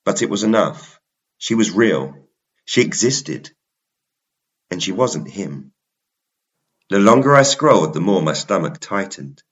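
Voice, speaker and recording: A man narrating a suspense romance story in a measured, dramatic way, in short phrases. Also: deep voice, muffled recording. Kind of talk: scripted first-person suspense romance narration.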